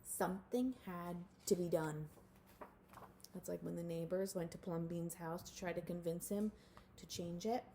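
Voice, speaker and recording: Female storybook reader: unhurried, mellow, slightly creaky, expressive with chatty asides, faint background noise. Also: lowish voice. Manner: animated, with asides to listeners. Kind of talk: expressive read-aloud for children.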